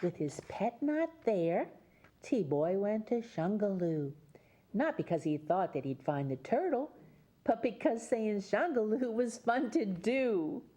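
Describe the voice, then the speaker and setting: Female children's storyteller, Mother Goose type: leisurely delivery, animated intonation, picture-book reading with conversational asides. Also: mid-range voice, slow. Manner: explains pictures and places to young listeners.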